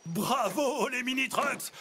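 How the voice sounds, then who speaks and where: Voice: high-pitched, takes it slowly, a little hiss or hum, heavily acted. An actor voicing a kindly old grandfather truck in a children's cartoon, rousing and encouraging his young team over music. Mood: rousing, proud. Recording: loud music bed. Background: loud cartoon music.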